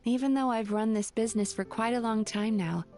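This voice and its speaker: A woman playing a gentle, wistful young business owner in an anime game, soft-spoken and reflective, with music behind. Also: on the high side, a little fast, lightly acted.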